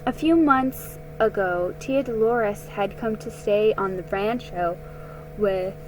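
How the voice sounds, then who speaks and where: A woman giving a dramatic reading of a story, halting and repeating words as she goes. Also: mid-range voice, noisy background. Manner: slow, halting, stumbles and rereads words. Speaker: storybook reader.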